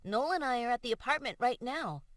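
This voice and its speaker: Woman voicing a high, cheerful young female friend. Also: expressive, a little fast, dry recording, studio quality. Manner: bright, cheerful, casual.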